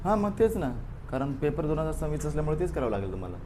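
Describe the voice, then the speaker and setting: A man's voice: fairly high pitch, sing-song, racing, noisy background. A man teaching a live exam-coaching class, going over a past paper and prodding students with frequent "okay" and "right" fillers. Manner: repeats "बरोबर आहे" and "ओके" as fillers, prods students directly.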